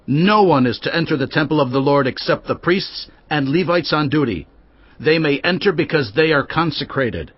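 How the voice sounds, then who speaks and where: A man's voice: on the high side, expressive, normal speed, highs cut off. A man playing a stern male priest who gives authoritative orders in a dramatized audio Bible. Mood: commanding. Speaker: voice actor.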